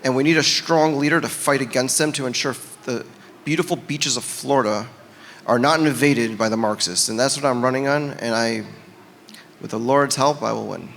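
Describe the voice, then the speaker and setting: Earnest man pitching himself in a hall. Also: moderate pace, creaky, fairly bright tone. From United States. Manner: earnest, hesitant with many fillers. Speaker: panel guest, candidate for governor. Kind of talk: campaign-style panel talk. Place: conference hall. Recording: reverberant hall with faint noise.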